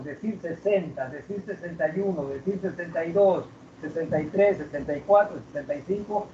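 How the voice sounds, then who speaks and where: A man teaching math to a class, asking questions and drawing out key words slowly. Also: fairly high pitch, a little hiss or hum. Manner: asks students questions, drags out key words. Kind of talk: classroom lecture. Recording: roomy, distant, dull classroom recording.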